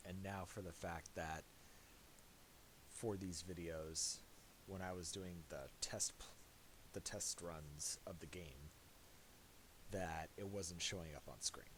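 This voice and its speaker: Low-voiced guy doing a halting software tutorial, takes his time, hissy recording. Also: fairly even intonation. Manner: halting, thinking aloud. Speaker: tutorial presenter. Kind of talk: casual software tutorial narration. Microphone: voice-over for a screen recording. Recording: hissy amateur voice-over.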